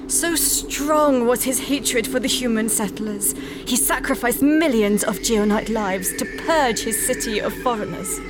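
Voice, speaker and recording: An actress playing an ancient, dying alien great-grandmother in a sci-fi audio drama, grave and serene, with a deliberate delivery. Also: on the high side, lightly acted. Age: older. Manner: slow, deliberate, solemn.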